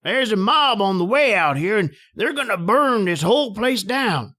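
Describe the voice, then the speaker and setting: A man acts out an excitable small-town sheriff in an old radio horror play. His high, forceful voice swings widely as he barks blunt, folksy orders, suspicious of everyone. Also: moderate pace, full character voice. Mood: stern, suspicious.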